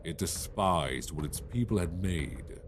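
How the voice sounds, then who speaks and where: A man's voice: sits low, lively intonation, unhurried, a little hiss or hum. A man playing a solemn, stately elder of a powerful people in a fantasy audio drama. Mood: grave. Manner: grave, stately. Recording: music behind the voice.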